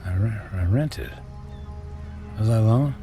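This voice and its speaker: An actor playing a wealthy, weary, miserable man in a radio drama, speaking in a halting, guarded way. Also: fairly low pitch, music in the background, lightly acted. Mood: groggy, confused. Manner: hesitant, halting, sighing.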